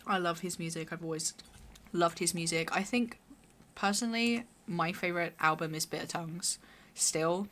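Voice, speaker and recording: Raspy low-voiced young woman. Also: normal speed, fairly bright tone, a little hiss or hum. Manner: chatty, rambling, swears casually. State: hoarse. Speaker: streamer. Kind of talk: casual chat while gaming.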